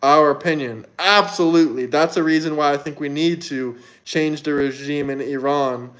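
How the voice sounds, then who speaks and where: A young American man from Florida, talking off the cuff on a livestream. His voice sits a little high for a man, and he wanders and repeats himself while answering viewers. He sounds casual and unscripted. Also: moderate pace, fairly bright tone. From Florida, United States. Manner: loose, conversational, repeats himself. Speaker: livestream host. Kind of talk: casual livestream chat, reading viewer comments. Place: indoors in Montenegro.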